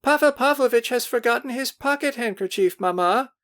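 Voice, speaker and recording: A man doing a shrill chorus of girls. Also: fairly quick, fairly bright tone. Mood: excited, teasing. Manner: shrill and ringing, calling out. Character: excited chorus of young girls.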